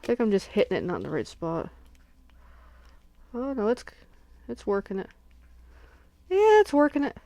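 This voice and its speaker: A woman's voice: medium pitch, wildly swooping pitch, normal speed, vocal fry, pauses often, warm tone, no room sound. A sniffly woman hosting a casual crafting livestream, chatting and thinking aloud as she unboxes and works at home.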